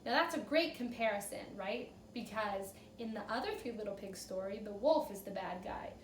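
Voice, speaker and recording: A woman's voice: medium pitch, normal speed. Female teacher commenting on a read-aloud for kids, very varied intonation, recorded roomy and off-mic. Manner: animated, checks understanding with questions. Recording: roomy echo, far from mic, faint noise.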